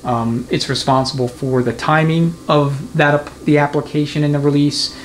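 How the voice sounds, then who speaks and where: A man's voice: on the high side, little pitch movement, normal speed. A man giving an unscripted, hands-on tutorial as a mechanic, explaining methodically in a conversational way. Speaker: transmission mechanic giving a demonstration. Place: indoor transmission repair workshop. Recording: clear background noise of a workshop.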